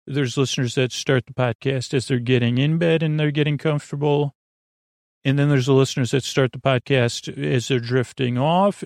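A man hosting a sleep podcast, talking in a meandering, halting way with a gravelly voice that wanders off into tangents. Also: mid-range voice, darkish tone, no room sound, studio quality. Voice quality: creaky, gravelly. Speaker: podcast host. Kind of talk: rambling bedtime sleep podcast monologue.

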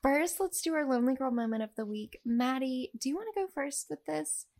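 A woman's voice: high-pitched, lively intonation, a little fast, a little mellow. A woman co-hosting a podcast in casual, conversational chat. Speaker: podcast co-host.

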